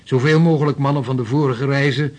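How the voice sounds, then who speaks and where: An actor in a radio play playing an eager, seasoned Dutch sea captain who talks heartily and decisively. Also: male voice, medium pitch, steady tone, quick pace, darkish tone, natural voice.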